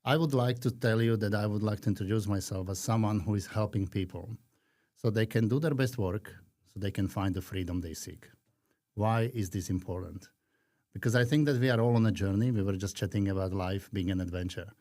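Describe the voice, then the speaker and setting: A man in his fifties from Slovakia with a Slovak accent, talking earnestly and reflectively as a podcast guest, with frequent pauses. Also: on the low side, darkish tone. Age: 50s. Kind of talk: conversational interview.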